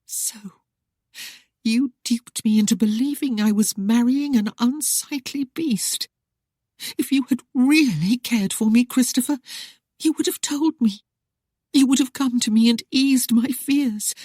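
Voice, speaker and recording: A woman voicing a proud young noblewoman who teases, pleads and accuses in a high, emotional voice. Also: unhurried, mellow, husky, dry recording. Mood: accusing.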